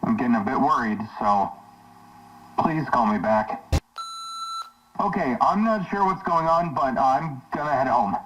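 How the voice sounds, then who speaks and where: A man playing a worried, anxious boyfriend leaving a voicemail in a husky voice. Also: mid-range voice, animated, a little slow, long pauses, fairly bright tone, slight background noise. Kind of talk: emotional voicemail acting. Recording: muffled distant voicemail.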